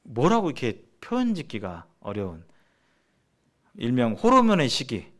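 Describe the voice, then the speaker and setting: A middle-aged man from South Korea teaching in a sermon-like seminar style, explaining haltingly and restating his phrases as he goes. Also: medium pitch, huge pitch swings, fairly bright tone. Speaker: church seminar preacher.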